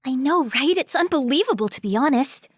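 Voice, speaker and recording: A woman playing an eager, excitable female robot researcher in an audio drama, talking informally. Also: sits high, a little fast, no room sound, studio quality. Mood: chatty, insistent.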